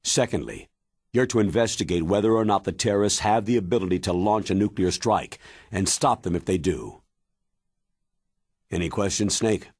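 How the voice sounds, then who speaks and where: Deep, husky military commander. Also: male voice, a little mellow, no room sound, studio quality. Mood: grave. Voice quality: husky, weighty. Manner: measured, commanding, grave. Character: stern, authoritative older military commander giving mission briefing. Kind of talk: dramatic video game cutscene acting.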